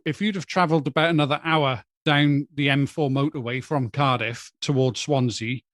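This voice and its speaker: A man, a guest on a podcast, speaking in a measured, thoughtful way with hesitant starts. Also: mid-range voice, no room sound, studio quality. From Wales. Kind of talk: casual podcast chat.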